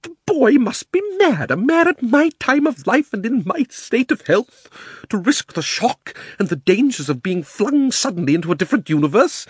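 A cruel, pompous old uncle from a children's storybook, a gentleman magician. His delivery is extremely animated, soaring with haughty indignation and sinking into condescension. The sound is dry and close. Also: medium pitch, moderate pace, no room sound, voice pushed up. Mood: offended, preposterous. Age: older. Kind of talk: dramatised storybook reading.

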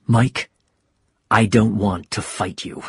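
A man voicing a steady, protective boxer and father, firm and plain-spoken, in an audiobook story. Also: on the low side, slow pace, vocal fry, breathy edge, dry recording, clean studio sound, exaggerated voice. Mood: calming.

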